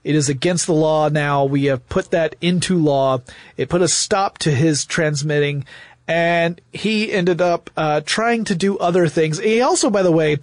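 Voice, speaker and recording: A man's voice: on the high side, a little slow, no echo. An American man co-hosting a podcast, explaining history conversationally in an emphatic storytelling way. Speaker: podcast co-host.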